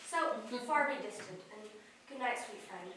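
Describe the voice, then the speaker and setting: A woman's voice: a little high, animated, moderate pace, slight background noise. A woman playing an earnest young noblewoman in love, speaking stage verse. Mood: tender. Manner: soft, earnest pleading. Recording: echoey stage, distant mic.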